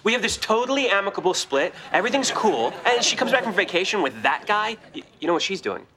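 A man's voice: high-pitched, sing-song, quick pace, noisy background. An actor playing a sulky, jealous young American man fresh from a breakup, indignant and sarcastic in a sitcom. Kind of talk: sitcom acting.

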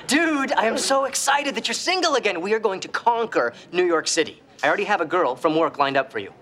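A man's voice: high voice, lively intonation, fast talker, bright, forceful tone. An actor playing a brash, boastful young American womanizer, speaking in a cocky, emphatic sitcom style. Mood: gleefully cocky. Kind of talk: sitcom acting.

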